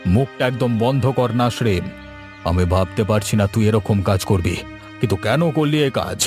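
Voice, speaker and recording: Guy doing strict cartoon dad, scolding, pitch jumping around, light music under it. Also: expressive, normal speed, lightly acted. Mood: indignant. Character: stern, shocked village father scolding his daughter.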